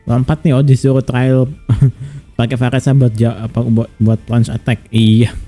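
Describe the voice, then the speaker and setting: A man's voice: mid-range voice, little pitch movement, moderate pace, warm tone, faint music behind. A man with an Indonesian accent giving casual live commentary as he plays a video game. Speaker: game streamer.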